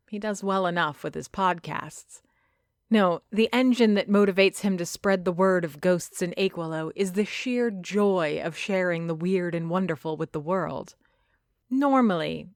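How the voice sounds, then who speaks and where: A woman narrating a first-person story from a script, reflective and measured, with dramatic phrasing. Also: on the low side, no echo, studio quality. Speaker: narrator of a fiction podcast.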